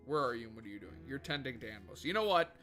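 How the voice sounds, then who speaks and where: A man's voice: medium pitch, lively intonation, rapid-fire, pushed and bright. A man livestreaming a video game, chatting casually with viewers in a rambling way and swearing freely. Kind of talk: casual gaming livestream chat. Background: faint background music.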